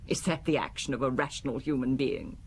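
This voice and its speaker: Cool, low-voiced, haughty wife. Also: female voice, brisk, darkish tone, slight background noise. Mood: scornful. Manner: composed, haughty, articulate, defensive. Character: cool, proud, faintly contemptuous married businesswoman. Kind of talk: radio drama acting.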